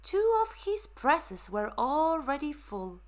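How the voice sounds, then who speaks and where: A woman narrating a children's story for a puppet show in a measured, deliberate way. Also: high voice, very animated.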